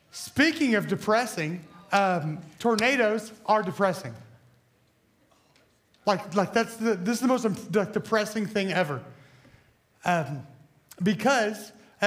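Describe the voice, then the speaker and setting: A young man preaches in a hoarse, scratchy voice, high-pitched for a man. He rambles and jokes at his own expense, stumbling through fillers and restarts as he talks to a church crowd. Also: fairly bright tone. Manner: rambling, self-deprecating, many fillers and restarts. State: hoarse from bronchitis. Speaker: student pastor. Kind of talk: casual joking sermon. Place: church hall.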